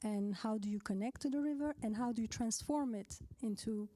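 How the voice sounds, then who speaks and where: A woman's voice: fairly high pitch, brisk, dark tone. A woman moderating a literary talk, putting thoughtful, open questions to her guests.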